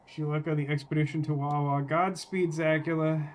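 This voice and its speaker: A man's voice: fairly high pitch, flat delivery, a little fast, a little hiss or hum. A man streaming a game with casual, wry commentary, rambling as he thinks aloud.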